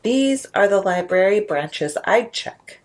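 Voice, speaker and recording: A woman voicing a kindly, wise male writer in a children's storybook, in a measured, advising manner. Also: mid-range voice, expressive.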